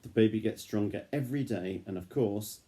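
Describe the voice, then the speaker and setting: A man reading a picture book aloud to a child, gently and engagingly, pausing to ask questions. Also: fairly low pitch.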